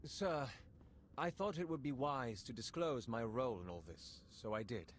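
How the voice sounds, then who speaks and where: A man's voice: medium pitch, lively intonation, moderate pace, lightly acted. A man playing a dutiful, businesslike intelligence operative in a game, earnest, clipped and practical. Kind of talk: video game dialogue acting. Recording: background noise and game ambience.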